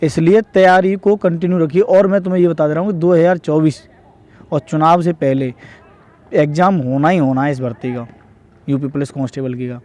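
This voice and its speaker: Young guy from north india chatting exam tips, kinda high but mellow voice, repeats himself, keeps checking you follow. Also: steady tone, brisk. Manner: repetitive, explanatory, often adds tag questions seeking agreement. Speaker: exam preparation advice vlogger. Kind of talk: casual advice talk to viewers.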